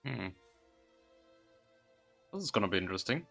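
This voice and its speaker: A man's voice: lowish voice, big pitch swings, normal speed, creaky, pushed and bright. A man livestreaming casually, thinking aloud in a hesitant, loose way. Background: background music.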